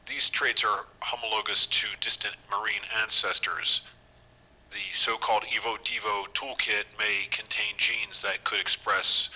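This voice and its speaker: A man playing a secretive, obsessive doctor in an audio drama, measured and precise in his speech. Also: on the low side, slightly rough, exaggerated voice, low-quality recording. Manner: measured, precise, hushed dictation. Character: secretive, clinical male doctor, falsely soothing, obsessive. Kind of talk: audio drama acting as taped medical notes.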